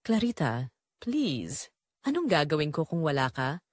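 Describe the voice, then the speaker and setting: A desperate married man in love, acted out by a woman, pleading and trembling in an audio drama. The pitch moves in animated swings, and the sound is dry and close. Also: measured pace, dry recording, heavily acted. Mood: broken pleading.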